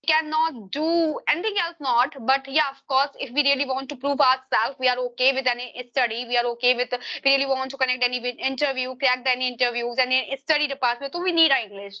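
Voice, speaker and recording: High bright-voiced Indian woman teacher. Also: fairly even intonation, fast, few pauses, highs cut off. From Uttar Pradesh, India. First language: Hindi. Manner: long searching answers with frequent grammar slips. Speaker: English teacher. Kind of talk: casual video-call conversation.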